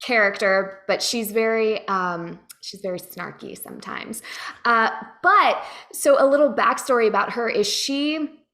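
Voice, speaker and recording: A woman chatting casually as a guest, explaining things in a friendly, animated way. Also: fairly high pitch, unhurried. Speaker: guest, voice actor. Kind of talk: casual chat.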